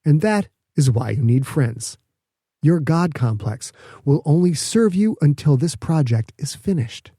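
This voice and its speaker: Smug female politician, read by a man, slightly raised pitch, measured condescension, mellow, audiobook. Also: animated, breathy edge, dry recording, clean studio sound, heavily acted. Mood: pointed. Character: smug, calculating woman governor, a seasoned politician.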